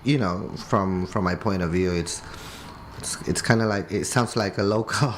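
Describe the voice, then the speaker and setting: A man's voice: sits low, moderate pace, a little hiss or hum. Indonesian man hosting an English radio show. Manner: friendly, hesitant with many fillers and restarts. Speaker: radio host. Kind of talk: bilingual radio interview.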